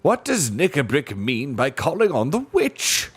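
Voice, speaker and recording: An agitated scholar from a storybook, played by a man, learned and protesting. His urgent voice sits high and jumps about. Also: huge pitch swings, unhurried, fairly bright tone, husky, soft background music, exaggerated voice.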